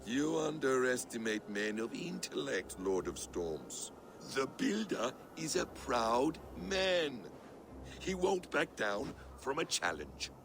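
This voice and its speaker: An actor playing a sly, proud, defiant trickster god with theatrical, smooth-tongued delivery in a video game. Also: male voice, mid-range voice, sing-song, slow pace, background noise, heavily acted. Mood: sly, persuasive. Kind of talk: video game cinematic acting.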